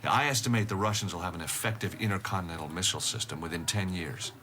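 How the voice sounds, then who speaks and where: An actor playing a frank, matter-of-fact nuclear weapons expert in a radio drama. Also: male voice, low-pitched, quick pace, pushed and bright. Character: blunt, worldly American nuclear weapons expert.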